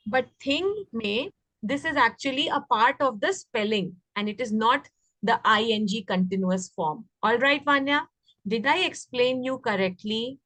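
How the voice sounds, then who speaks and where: A woman's voice: fairly high pitch, moderate pace, a bit bright, dry recording, low-quality recording. A woman with an Indian accent teaching children English grammar, explaining and often asking questions to check understanding. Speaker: teacher of an online class.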